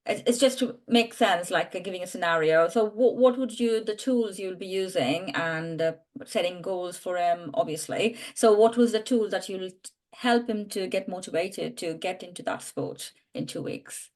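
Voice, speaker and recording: A woman's voice: lowish voice, moderate pace, few pauses, a little mellow. A woman hosting a podcast, asking long, searching questions with many fillers. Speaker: podcast host. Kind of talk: interview questions.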